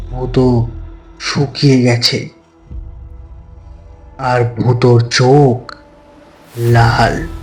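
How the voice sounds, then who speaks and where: A man narrating a scripted horror story in a suspenseful, dramatic manner with deliberate phrasing. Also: mid-range voice. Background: faint background music.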